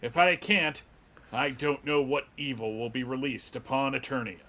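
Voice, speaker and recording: A man's voice: medium pitch, moderate pace, sharp tone. A man playing an earnest, noble superhero in a melodramatic comic book table read. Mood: anguished, straining.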